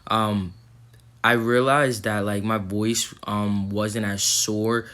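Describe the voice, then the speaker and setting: A man's voice: lowish voice, fairly even intonation, slow pace, fairly bright tone. A young man recording a casual vlog update, rambling with lots of ums and likes, his throat a little sore. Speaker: vlogger.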